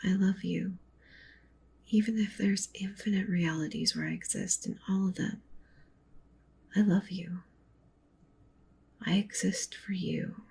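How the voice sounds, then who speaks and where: Casting: actress as obsessively loving wolf-girl witch for ASMR roleplay; breathy coaxing whispers, gravelly fry, slight background noise. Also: steady tone, unhurried, long pauses, mellow. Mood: adoring, intense. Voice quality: breathy whisper with low creaky murmur. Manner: intimate, tender, clingy, coaxing. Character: possessive, doting yandere wolf witch girlfriend.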